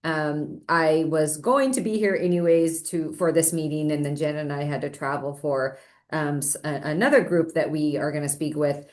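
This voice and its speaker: A woman's voice: low voice, normal speed. A woman, an assistant director, introducing herself and her work to an advisory council in a friendly, chatty way with fillers, on a laptop in a meeting room. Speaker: assistant director of community services. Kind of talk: self-introduction at a public meeting. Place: meeting room, same room as a colleague. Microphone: laptop microphone.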